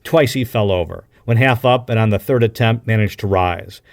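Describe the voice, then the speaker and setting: A man reading an adventure novel aloud with a deliberate, dramatic storytelling delivery, like a practiced broadcaster. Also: lowish voice, creaky. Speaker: audiobook reader, radio broadcaster.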